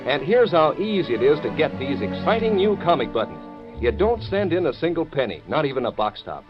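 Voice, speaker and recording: A man announcing a 1940s radio commercial for children in a folksy, eager sales style. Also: fairly high pitch. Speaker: radio announcer reading sponsor ad. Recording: dull old radio recording, faint music.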